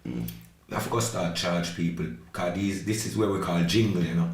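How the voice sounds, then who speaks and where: A man's voice: low voice, brisk. A man hosting a live podcast, jokey and slangy. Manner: jokey, animated, slips into slang. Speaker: podcast host. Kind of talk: casual chat on a live podcast. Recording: echoey room with faint noise.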